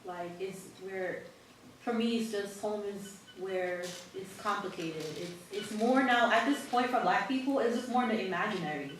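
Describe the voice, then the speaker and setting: A woman on a discussion panel, talking in a thoughtful, halting, casual way. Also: mid-range voice, slight background noise. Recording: echoey hall from far off.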